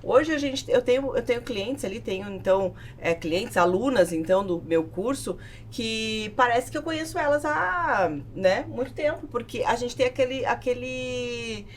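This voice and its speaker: A woman with a southern Brazilian accent telling stories in an animated, casual podcast conversation, acting out what people said. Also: fairly high pitch, moderate pace, few pauses, a little hiss or hum. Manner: animated storytelling, acts out quoted remarks. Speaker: podcast guest, business owner.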